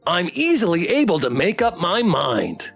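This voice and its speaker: A man's voice: high-pitched, wildly swooping pitch, normal speed, vocal fry, fairly bright tone, soft background music, full character voice. A man voicing a condescending, blunt talking plushie toy in a visual novel game. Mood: officious. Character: snippy, condescending talking plushie toy pet with big eyes. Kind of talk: visual novel character read aloud.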